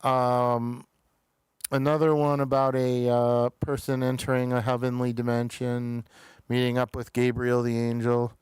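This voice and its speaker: A man hosting a show, chatting casually through episode reviews in a halting way, pausing often mid-thought. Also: medium pitch, completely flat delivery, a little mellow, smooth, no room sound.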